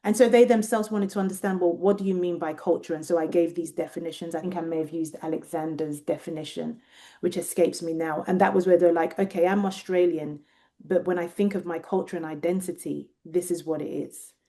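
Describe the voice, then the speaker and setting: A British Nigerian woman with a British accent, a researcher talking thoughtfully in an interview and often pausing to find her words. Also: fairly low pitch, steady tone, mellow. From Nigeria and Britain. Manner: thoughtful, searching for words, many restarts. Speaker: guest researcher. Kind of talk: reflective interview conversation.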